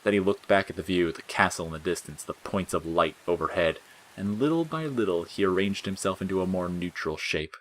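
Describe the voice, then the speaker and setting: A man's voice: sits low, a little fast, low-quality recording. A man reading scripted fiction as the narrator.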